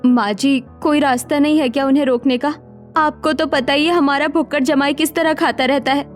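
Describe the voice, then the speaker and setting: Casting: actress as poor young housewife, very high voice, anxious and exclamatory, cartoon melodrama. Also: female voice, fairly even intonation, a little fast, faint music behind, close to an everyday voice. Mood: alarmed. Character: young poor daughter-in-law, anxious and dramatic. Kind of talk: Hindi cartoon story dialogue.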